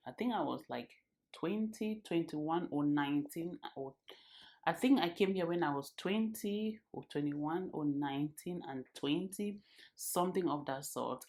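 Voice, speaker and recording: A woman's voice: deep voice, a little mellow. A woman in her thirties from Cameroon, talking earnestly to her channel's viewers and repeating phrases for emphasis. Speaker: vlogger. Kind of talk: casual talk to camera.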